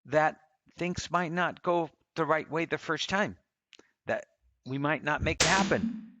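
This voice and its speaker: A man's voice: fairly high pitch, a bit bright, no echo, dull audio. A man in his fifties from Costa Rica co-hosting a podcast, talking in an earnest, motivational way and repeating phrases for emphasis. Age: 50s. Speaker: podcast co-host. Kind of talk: casual conversational podcast.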